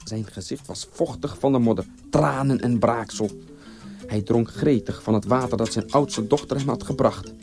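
A man narrating a scripted folktale as a storyteller, with expressive, theatrical delivery. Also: medium pitch, normal speed, creaky, music in the background.

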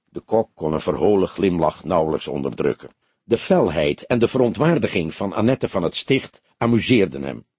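Husky male audiobook narrator. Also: sits low, animated, normal speed, darkish tone, no echo. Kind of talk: scripted narration of a detective novel.

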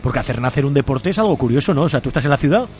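A man's voice: mid-range voice, animated, racing, noisy background. A man with a Spanish accent from Spain, hosting a casual radio interview in a colloquial, jokey way. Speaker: radio show host.

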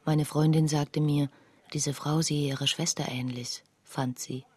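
An actress playing a woman who soberly relays her friend's story in a radio play, in a measured storytelling manner. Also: low voice, little pitch movement, a little mellow, husky, no room sound. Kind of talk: literary radio play narration.

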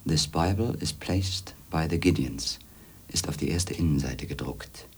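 An actor playing a skeptical, world-weary former magazine editor who narrates in a pensive, measured way in a radio drama. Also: male voice, rumbling low, gravelly, darkish tone, slightly rough, heavily acted. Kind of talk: German radio drama, introspective narration and dialogue.

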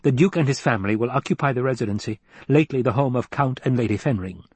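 A man's voice: fast talker, darkish tone, slightly rough, no echo. A man voicing a clever, supercilious male adviser in an audiobook, laying out schemes in precise, formal speech. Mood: coolly analytical. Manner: precise, formal, higher-pitched exposition. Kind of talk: audiobook character acting.

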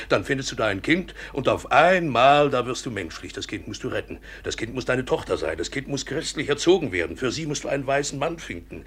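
An actor in a German radio drama playing a defeated colonial trader, bitter, resentful and sardonic. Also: male voice, mid-range voice, fairly bright tone, breathy edge.

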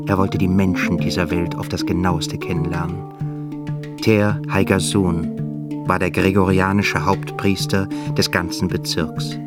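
A male narrator reads scripted literary prose for a radio drama. His voice is low, and loud plucked-string music plays along behind it. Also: flat delivery, measured pace.

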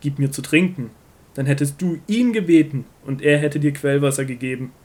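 Man playing authoritative prophet and teacher, fairly high male voice, solemn, measured, emphatic, Bible radio play. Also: darkish tone, natural voice. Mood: earnest, instructive. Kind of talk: scripted audio drama of a Bible story.

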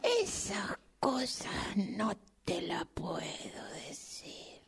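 A woman voicing a lonely old male tortoise in improvised radio theatre, with a Rioplatense Spanish accent and a halting, plaintive, breathy delivery. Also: medium pitch, wildly swooping pitch, heavily acted. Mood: sad, plaintive. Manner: halting, plaintive, rambling, breathy drops into near-whisper.

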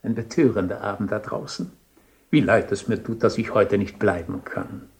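An actor playing an urbane, contemplative bishop in a radio play, genial and musing in manner. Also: male voice, on the low side, normal speed, vocal fry. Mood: lyrical, musing. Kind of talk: German radio play acting.

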